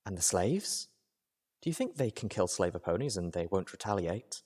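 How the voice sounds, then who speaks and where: Man as a poised, quiet female pony. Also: low-pitched, sing-song, slightly rough, heavily acted. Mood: cool, reproving. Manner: measured, composed, reproving. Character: poised, cool-headed adult female pony, principled healer.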